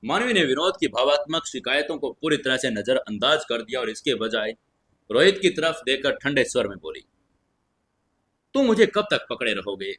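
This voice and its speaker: A man narrating a scripted audio story in a dramatic, expressive, suspenseful way. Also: mid-range voice, brisk, slightly rough.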